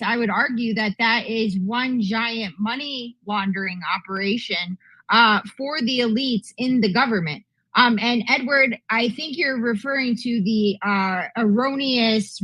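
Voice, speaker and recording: A woman's voice: fairly high pitch, fairly bright tone, no room sound, rough recording. A woman in a live online audio room, arguing bluntly and crudely in a heated political debate. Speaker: panelist in live audio space.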